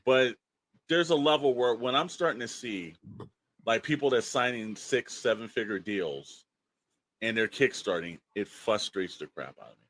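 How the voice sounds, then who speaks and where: Male podcast co-host, mid-pitched voice, emphatic and opinionated, loose colloquial delivery that rambles. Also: lively intonation, moderate pace, fairly bright tone, rough recording. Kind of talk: opinionated casual podcast talk.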